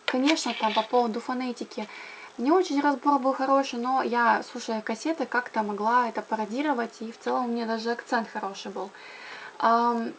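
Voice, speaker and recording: A woman's voice: a little high, fairly even intonation, a little fast, slight background noise. A young woman from Karelia chatting casually to camera about learning languages, with frequent fillers and self-corrections. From Karelia, Russia. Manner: chatty, lots of fillers and restarts. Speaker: language blogger.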